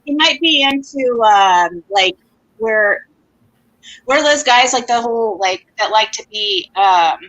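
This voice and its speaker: A woman guest on a livestream panel speaks in a high, bright voice that swoops up and down. She talks slowly and haltingly, with lots of fillers. She giggles often. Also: expressive, poor audio. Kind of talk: casual panel chat.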